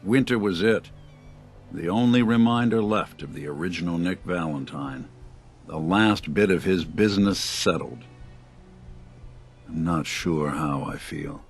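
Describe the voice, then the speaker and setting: A world-weary old synth detective from a video game. His American voice sits low and moves very slowly, with halting, wry turns in the manner of a noir gumshoe. Faint music runs underneath. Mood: weary, troubled.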